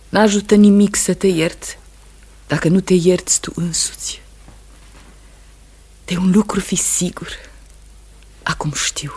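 A woman's voice: fairly low pitch, darkish tone, husky. An actress playing a sorrowful, steadfast wife of a condemned man, solemn and intense in radio theatre style. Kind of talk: Romanian radio theatre acting.

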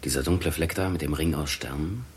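An actor playing a deferential, uneasy young Norwegian astronomer in a 1960s German radio drama, speaking hesitantly. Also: male voice, rumbling low, a little fast, slightly rough.

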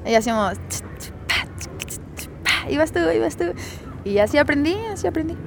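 A young woman with a Mexican accent talks in a loose, chatty way and laughs often. Her voice sits a little high. Faint noise hums under the recording. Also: expressive, brisk. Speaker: musician guest. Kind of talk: casual radio interview.

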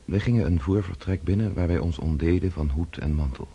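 A man's voice: low-pitched, a little fast, gravelly, warm tone, slightly rough, muffled recording, heavily acted. An actor playing an earnest, hesitant young count in a radio drama, speaking formally as he seeks to reform himself. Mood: reflective. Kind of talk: radio drama acting and first-person narration.

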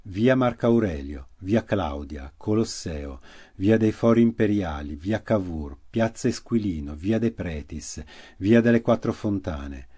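A man with an Italian accent giving a measured, dramatic historical narration for radio theatre, slowing and dropping his voice for emphasis. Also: low-pitched, animated, dark tone, slightly rough. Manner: measured, slows and drops for emphasis. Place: radio studio.

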